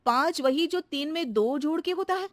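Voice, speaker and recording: A woman's voice: high-pitched, moderate pace, no echo, studio quality, voice pushed up, lightly acted. A woman voicing a level-headed housewife who teases her maid with mock-serious logic, in a cartoon story. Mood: teasing. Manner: sly, mock-serious teasing.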